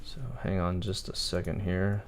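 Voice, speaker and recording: A man with a low voice streams a video game live. He talks casually to his viewers, thinking aloud and explaining what he is doing. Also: little pitch movement, normal speed, a little hiss or hum.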